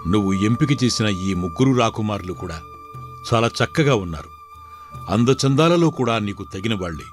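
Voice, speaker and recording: A man's voice: lowish voice, animated, moderate pace, natural voice. A stately older storybook king, acted by a man, speaks with fatherly authority. His formal lines rise and fall expressively over faint music. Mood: fatherly, tender. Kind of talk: dramatized Telugu moral story.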